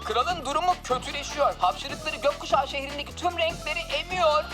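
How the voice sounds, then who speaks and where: A woman's voice: high-pitched, fairly quick, natural voice. A woman voicing an anxious, fretful royal helper in a children's cartoon dub. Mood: worried. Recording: loud children's music behind.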